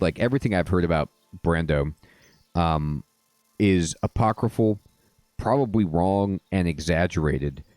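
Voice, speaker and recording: A man hosting a casual let's-play, telling stories in a rambling, drawn-out way with wry asides. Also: low voice, a little mellow, no echo. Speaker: gaming host.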